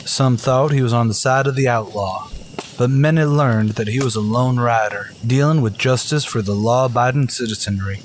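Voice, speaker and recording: A man's voice: medium pitch, normal speed. Casting: male narrator for old-fashioned western radio serial; mid-range voice, solemn and grand, faint noise behind. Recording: faint background noise, ambience.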